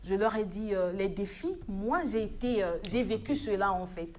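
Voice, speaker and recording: A woman's voice: medium pitch, expressive, normal speed, a little mellow. A woman in her thirties from the Republic of the Congo, speaking as a studio guest on a TV news program in an earnest, exhorting way with hesitant restarts. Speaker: studio guest, African Union official.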